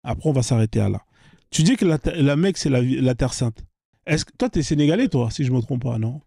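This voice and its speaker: A man's voice: medium pitch, lively intonation, brisk, vocal fry, mellow, no echo, clean studio sound. A man hosting a live online debate, pressing his guest with repeated, insistent questions.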